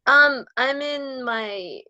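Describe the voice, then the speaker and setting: A woman's voice: sits high, drawn-out words, pushed and bright, no echo, lo-fi sound. Young woman from australia, hesitant and filler-y, laughs a lot, dry close sound. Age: young adult. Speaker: guest, powerlifter and university student. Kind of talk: casual interview chat.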